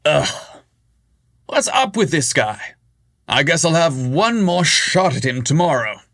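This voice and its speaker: A man voicing a brash, eager adult man who talks loudly and theatrically. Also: on the high side, very animated, takes it slowly, no echo, studio quality, pitched above the performer's own voice, exaggerated voice. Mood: melodramatic. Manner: loud, theatrical, exasperated.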